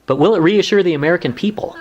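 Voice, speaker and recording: A man's voice: high voice, rapid-fire, dull audio. An actor playing an anxious, eager young male junior lawyer, questioning and unsure, in a comedic radio play. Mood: anxious, hopeful.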